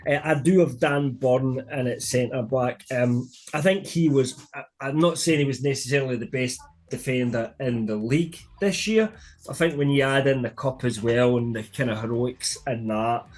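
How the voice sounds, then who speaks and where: A man's voice: mid-range voice, normal speed. A British man hosting a casual football podcast, chatting with friends in an opinionated, bantering way. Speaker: sports podcast host.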